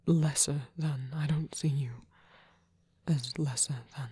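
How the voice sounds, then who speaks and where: A performer voicing a gentle, protective vampire priest in a soft, husky whisper, tender and hesitant, as intimate ASMR roleplay. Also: medium pitch, steady tone, slow pace, no room sound. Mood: tender, reassuring.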